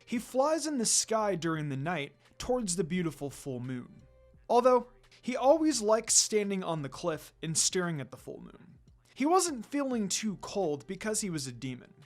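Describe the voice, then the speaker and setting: A man's voice: high-pitched, very animated, moderate pace. A man reading fan fiction aloud as a scripted story narration. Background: music.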